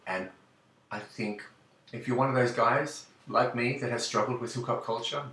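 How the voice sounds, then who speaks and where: A man's voice: mid-range voice, fairly even intonation, moderate pace, sharp tone, echoey room, distant mic, poor audio. A man speaking straight to his listeners in an earnest, encouraging way, with ums and restarts. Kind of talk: direct-to-camera talk.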